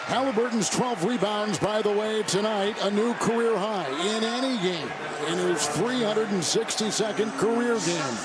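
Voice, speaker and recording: High-voiced male play-by-play man over roaring crowd. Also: normal speed, few pauses. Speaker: play-by-play sports announcer. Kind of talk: live basketball play-by-play commentary. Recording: very loud arena crowd and court noise.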